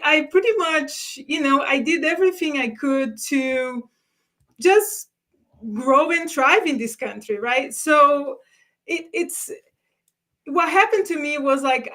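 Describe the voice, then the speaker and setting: A woman from Brazil speaks as a guest on a career interview. Her voice is high, and she tells her story earnestly and reflectively, restarting her sentences as she goes. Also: pushed and bright. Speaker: guest expert, career strategist. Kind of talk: conversational interview answers.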